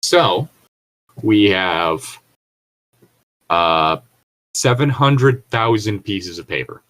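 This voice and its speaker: A man co-hosting a podcast, reading aloud with casual, joking commentary in a rambling, nitpicking way as he thinks out loud. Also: lowish voice, expressive, drawn-out words. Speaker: podcast co-host.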